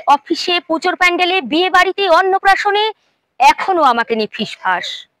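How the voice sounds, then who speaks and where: A woman reciting poetry dramatically, impassioned and emphatic, with deliberate pauses. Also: very high voice, bright, forceful tone, no echo, rough recording.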